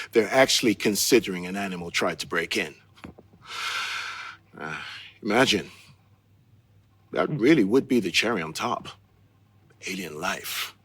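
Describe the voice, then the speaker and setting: A worn-down, grim crew officer in a sci-fi game, dictating a log. His low voice is husky but hard-edged, and he speaks haltingly, as if duty weighs on him. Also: expressive, fairly bright tone, no echo, full character voice. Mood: wry, uneasy. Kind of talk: acted video game audio log.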